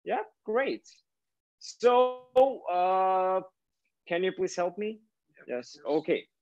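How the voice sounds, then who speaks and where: A young man on a video call, speaking a little high for a man and halting a lot between words. The sound is muffled, like laptop call audio. He is chatting casually from his home kitchen. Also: expressive. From Kazakhstan. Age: young adult. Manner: halting, many fillers and restarts. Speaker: host. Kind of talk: casual cooking and dance chat on a video call. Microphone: laptop webcam on a video call.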